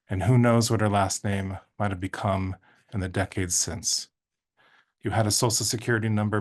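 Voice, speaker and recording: Lower-pitched man reading literary prose. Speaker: writer reading at a literary festival. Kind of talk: literary reading of written narrative.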